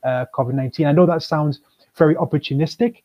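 A man, a guest speaking in a measured, explanatory way on a video call. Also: fairly high pitch. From Kenya. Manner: measured, explanatory, with frequent ums. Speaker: guest, chamber of commerce manager. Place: indoors, plain room. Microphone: webcam on video call. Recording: dull lo-fi video call audio.

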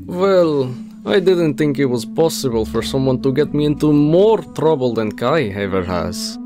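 A man's voice: mid-range voice, expressive, unhurried, close to an everyday voice. A man playing a defiant, big-hearted young working-man rebel in a fantasy drama. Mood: reluctant, wistful. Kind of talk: dramatic fantasy fan-dub acting. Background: music.

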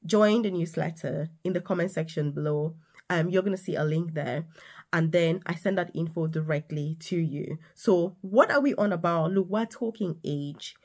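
A woman in her thirties, a nurse and career coach, talking straight to the viewer in an animated, emphatic casual vlog from a small room. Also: lowish voice, moderate pace. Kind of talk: casual direct-to-camera vlog. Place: small indoor room with decorated wall.

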